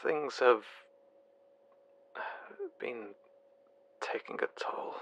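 A man's voice: mid-range voice, drawn-out words, fairly bright tone, dull audio, exaggerated voice. A man playing a weary, guarded doctor working late in an audio drama, speaking tersely with sighs. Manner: terse, sighing, evasive. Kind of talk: low-key naturalistic audio drama dialogue.

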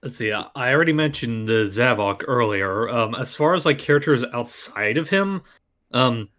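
A man's voice: mid-range voice, expressive, normal speed, fairly bright tone. A man who voice acts, chatting casually on a fan dub panel. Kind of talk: casual online panel chat.

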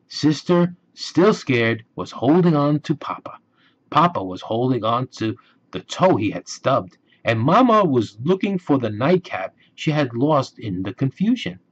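Male storybook narrator. Unhurried, gentle and very expressive, on a slightly dull recording. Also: on the high side. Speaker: children's storybook reader.

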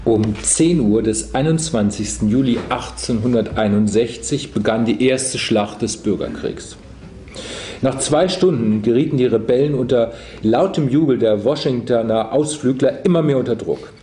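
A man's voice: medium pitch, animated, normal speed, dark tone. A man reading historical prose aloud at a live reading, in a roomy space. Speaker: reader at a literary reading. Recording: roomy echo, faint background noise.

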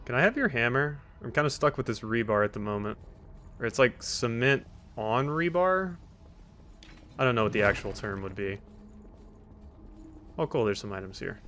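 A man's voice: medium pitch, lively intonation, normal speed, pauses often, fairly bright tone, slight background noise. A man giving casual let's play commentary in a dry, joking, sarcastic way. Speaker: gaming video host. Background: video game sounds.

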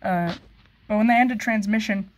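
A woman's voice: medium pitch, normal speed, slight background noise. A woman chatting informally in a hobby vlog, rambling and thinking aloud as she goes. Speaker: hobbyist video maker. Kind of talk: casual vlog chat.

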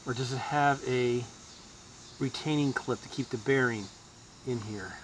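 A man's voice: medium pitch, slight background noise, low-quality recording. Guy fixing stuff in his yard, talks as he works, ums and uhs, crickets chirping faintly behind. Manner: thinking aloud, halting with fillers. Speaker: DIY mechanic. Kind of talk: casual how-to narration. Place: outdoors in a yard. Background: crickets and insects.

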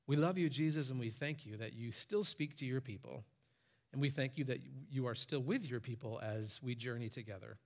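A man's voice: medium pitch, brisk. A pastor in his forties preaching on a church stage, his voice lifting when he quotes commands. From Michigan, USA. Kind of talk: sermon.

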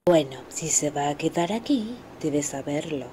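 A woman's voice: sits low, lively intonation, measured pace, a little hiss or hum. A woman with a Latin American accent voicing a stern, solemn tigress warrior in a cartoon fandub. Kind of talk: animated film fandub acting. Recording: loud film music behind.

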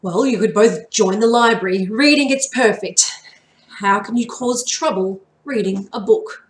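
A woman voicing a brisk, exasperated father of boys in a storybook, speaking firmly. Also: mid-range voice, far from the mic, lightly acted. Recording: echoey home room.

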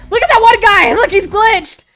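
A woman chatting casually with friends while playing a game, often yelling and laughing. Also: extremely high pitch, moderate pace. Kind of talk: casual gaming chat. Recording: game music behind.

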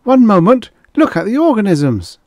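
A man's voice: medium pitch, lively intonation, measured pace, a little mellow, dry recording, studio quality, voice pushed up, lightly acted. A man voicing a bossy, visionary primitive man in a storybook, commanding and declamatory. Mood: alarmed, threatening.

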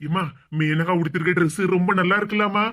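Someone playing a clueless easygoing husband. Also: male voice, high-pitched, fairly even intonation, fast talker, very noisy, close to an everyday voice. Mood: pleading. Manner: mild, easygoing.

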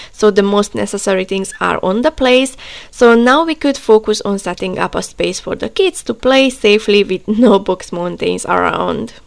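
Woman, thirties, calm vlog voice-over, gravelly. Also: medium pitch. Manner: calm, slightly non-native grammar. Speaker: vlogger. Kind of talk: voice-over narration.

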